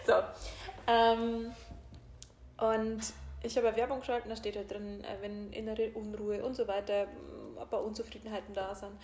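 A woman's voice: medium pitch, level tone, moderate pace, a little mellow. A woman coach with a German regional dialect, speaking openly and laughing easily, with many fillers. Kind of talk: casual conversation. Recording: echoey room.

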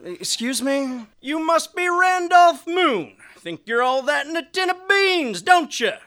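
A woman's voice: high-pitched, very animated, a little slow, fairly bright tone, heavily acted. A woman voicing a tough drill sergeant who barks orders in old-time slang. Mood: gruff.

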